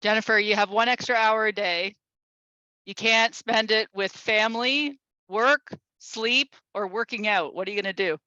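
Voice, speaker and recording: A woman's voice: mid-range voice, normal speed, bright, forceful tone, no room sound. A woman asking playful questions on a panel video call. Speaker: panel guest. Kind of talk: casual panel chat. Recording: dull, dry video-call audio.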